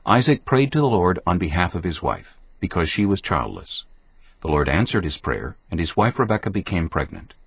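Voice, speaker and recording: A man reading scripted narration for a dramatized audio Bible in a measured, storytelling manner. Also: low voice, very animated, gravelly, husky, no echo. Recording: dull audio, faint music.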